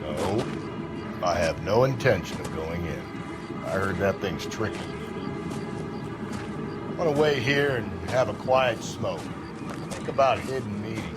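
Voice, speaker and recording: A man playing a cynical, grumbling middle-aged 1940s American detective in a video game, talking in gruff slang. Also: fairly low pitch, animated, unhurried, long pauses, over loud music. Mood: weary, reluctant. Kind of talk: cinematic video game acting.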